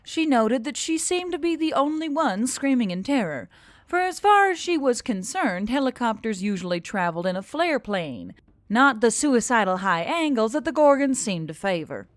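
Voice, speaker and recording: A woman reading scripted fiction as an expressive audiobook narrator. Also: fairly high pitch, moderate pace, no room sound, clean studio sound. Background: music.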